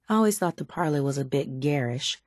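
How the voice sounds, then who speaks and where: Game role: sardonic, calculating female raider scientist, slightly low register, cool measured delivery, very dry studio sound. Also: a little mellow, no room sound, exaggerated voice. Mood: resigned, wry. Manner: dry, measured, faintly condescending. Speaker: video game character voice actor. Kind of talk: scripted video game dialogue.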